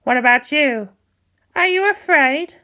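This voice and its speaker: A woman's voice: high voice, expressive, unhurried, pushed and bright, full character voice. A woman voicing an old male monk, a sardonic teacher who asks pointed, challenging questions in a dramatised story. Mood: sardonic probing. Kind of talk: dramatised fan fiction reading.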